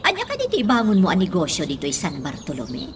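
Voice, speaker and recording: A woman's voice: fairly low pitch, wildly swooping pitch, quick pace, a little hiss or hum. A woman acting as a polite, emotional town leader in an Ilocano radio drama. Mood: polite, wary.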